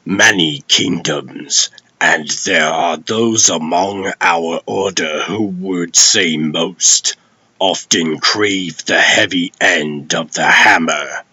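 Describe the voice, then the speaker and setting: A man's voice: low-pitched, takes it slowly, bright, forceful tone, husky, no room sound, exaggerated voice. A man playing an old, stern warrior god and lawman in a comic dub, speaking in grave, archaic, commanding oratory. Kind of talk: theatrical comic dub acting.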